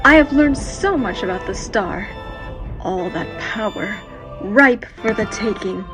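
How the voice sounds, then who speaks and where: A woman's voice: mid-range voice, lively intonation, a little slow, music in the background, close to an everyday voice, low-quality recording. A woman playing an imperious, power-hungry evil sorceress in an audio drama, haughty, commanding and menacing. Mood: gloating, haughty. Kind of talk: audio drama villain acting.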